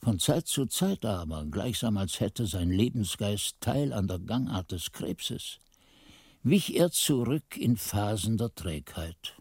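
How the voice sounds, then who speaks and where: Husky low-voiced old monk narrator. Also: male voice, expressive, a little mellow, dry recording, studio quality, heavily acted. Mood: reflective. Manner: measured, literary, reflective. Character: aged monk narrator recalling his youth, reflective and learned. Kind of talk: literary radio play narration. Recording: 1980s radio play with faint music.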